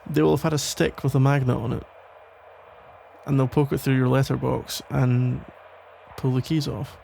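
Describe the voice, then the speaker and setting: Guy doing a worried protective cop ex, asmr roleplay, super croaky voice, casual n a bit exasperated, slight noise behind. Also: medium pitch, level tone. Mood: calmer, inquisitive. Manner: halting, casual, gently exasperated. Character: protective, worried male police officer, listener's ex-boyfriend. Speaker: audio roleplay performer. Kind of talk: ASMR roleplay, conversational one-sided acting.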